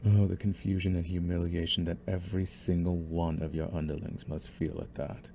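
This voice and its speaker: A man's voice: deep voice, little pitch movement, a little fast, vocal fry, mellow, a little hiss or hum. A man playing a young, possessive mafia boss in a scripted ASMR audio roleplay, taunting and condescending in a theatrical, menacing way. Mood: gloating, possessive. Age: young adult.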